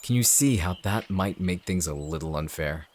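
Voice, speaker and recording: An actor playing a cold, calculating villain in an audio drama, speaking in a measured, smug, menacing way. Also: male voice, low voice, lively intonation. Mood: condescending. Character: cold, calculating male villain leading an anti-shifter operation. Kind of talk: dramatic audio roleplay acting.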